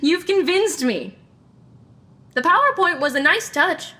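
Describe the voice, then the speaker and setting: Woman acting a decisive sleuth, high bright voice, deadpan, teasing, sharp, audio drama banter. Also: measured pace, exaggerated voice. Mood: teasing, amused.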